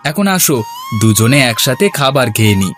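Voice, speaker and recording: A man voicing a good-natured village farmer, husband and father in a cartoon, speaking in a gentle, homely way. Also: mid-range voice, very animated, moderate pace, over music, natural voice. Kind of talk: Bengali cartoon dialogue. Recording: clearly noisy, birds and outdoor ambience.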